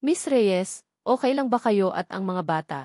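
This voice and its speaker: A woman voicing a calm, authoritative, concerned male captain in a romance story. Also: medium pitch, normal speed, no echo, studio quality, lightly acted. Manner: calm, direct, earnest.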